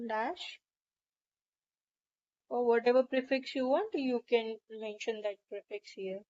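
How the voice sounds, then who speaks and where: Woman, high voice, instructional screen-tutorial narration, methodical, lo-fi dull audio. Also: a little mellow. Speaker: software tutorial presenter.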